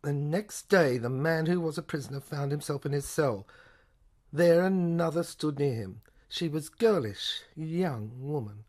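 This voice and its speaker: Female narrator, lower register, for literary audio drama; theatrical, halting reading with deliberate repetition. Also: expressive, darkish tone. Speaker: narrator and reader of an audio play.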